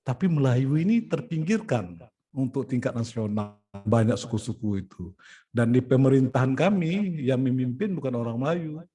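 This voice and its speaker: A man's voice: mid-range voice, lively intonation, moderate pace, mellow. A middle-aged man from Indonesia, an event organizer and poet, explaining plans step by step in a meeting. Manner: explaining logistics step by step, frequent "nah" and "ya". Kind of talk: planning meeting talk.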